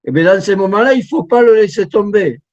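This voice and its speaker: A man's voice: sits high, fairly quick, a little mellow. A middle-aged man, a professional translator, giving an informal conference talk from home over a video call, with frequent restarts and repeated words. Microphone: computer mic on a video call. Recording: dull lo-fi video call audio.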